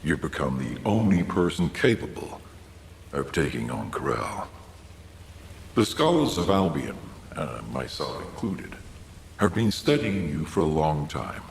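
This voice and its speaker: An actor playing a thoughtful, earnest scholar in a game, speaking with reflective, gentle formality. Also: male voice, extremely low pitch, big pitch swings, measured pace, creaky, exaggerated voice. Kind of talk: scripted game dialogue. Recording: roomy echo, faint background noise.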